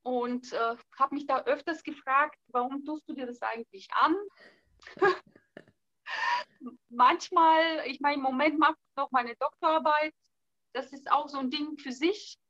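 Young woman, bright and tense tone, hesitant casual talk. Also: high voice, a little slow, pauses often, no echo, muffled recording. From Bulgaria. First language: Bulgarian. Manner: hesitant, thinking aloud with fillers. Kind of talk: casual conversation.

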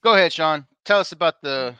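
Bright, clear-voiced man hosting a podcast. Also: on the high side, fairly quick, no echo, muffled recording. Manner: upbeat, plugging social media, teasing. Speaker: podcast co-host. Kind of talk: casual live podcast chat. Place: bar and grill, live show.

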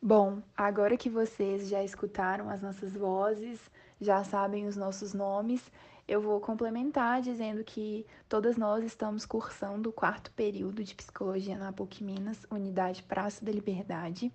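Mellow-voiced young Brazilian woman hosting. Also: mid-range voice, little pitch movement, normal speed. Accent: Brazilian Portuguese. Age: 20s. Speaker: psychology student, podcast host. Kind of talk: podcast introduction and discussion.

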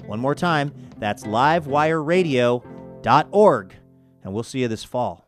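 A man's voice: medium pitch, expressive, unhurried, over music. A man hosting a radio show, talking in a conversational, enthusiastic way with wry asides. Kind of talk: upbeat show promo and interview talk.

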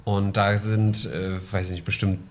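A man's voice: fairly low pitch, flat delivery, unhurried. A man hosting a casual podcast, chatting with a joking, ironic tone. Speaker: podcast host.

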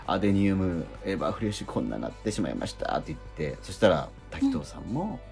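A man's voice: sits low, wildly swooping pitch, fast talker, gravelly, darkish tone, soft background music. A man co-hosting a radio show, chatting casually and telling a rambling story full of fillers. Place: radio studio.

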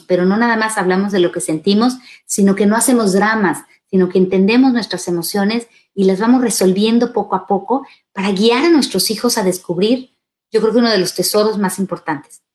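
A woman hosts a live parenting session, taking viewers' questions one by one. She talks to the parents directly and often checks in with "¿sale?". Her pitch sits in the middle for a woman. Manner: warm advisory tone, addresses parents directly, asks "¿sale?". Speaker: parenting show host answering questions. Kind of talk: live Q&A talk.

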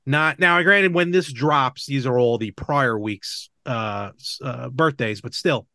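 A man hosting a casual chat podcast, talking in a halting, measured way with small asides and self-corrections. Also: medium pitch, bright, forceful tone, dry recording, clean studio sound. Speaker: podcast host. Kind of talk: casual chat about games and film.

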